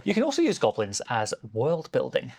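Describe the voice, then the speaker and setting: A man's voice: medium pitch, wildly swooping pitch, fairly quick, gravelly, a little mellow. A man presenting a scripted explainer as a game master, with a playful, emphatic and encouraging delivery. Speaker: game master and video host.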